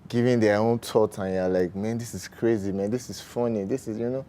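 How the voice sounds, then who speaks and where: A man with a Nigerian accent, chatting casually as a guest on a sports talk show, relaxed and full of fillers. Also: on the low side, fairly quick. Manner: relaxed, uses fillers like "you know" and "man". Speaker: studio guest. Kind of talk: casual chat.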